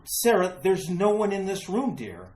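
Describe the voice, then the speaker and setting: A woman's voice: medium pitch, big pitch swings, unhurried, a little mellow. A woman playing an earnest, protective man in a retro radio thriller, theatrical and emphatic. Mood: shocked, grave. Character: earnest, protective male companion, reassuring and insistent. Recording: echoey room with faint hiss.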